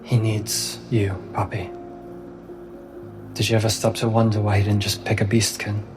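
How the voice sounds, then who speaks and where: A man voicing a weary, sorrowful fox spirit in a tabletop roleplay, with grave and deliberate phrasing. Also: on the low side, completely flat delivery, close to an everyday voice. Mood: grave, resigned. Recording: music behind the voice.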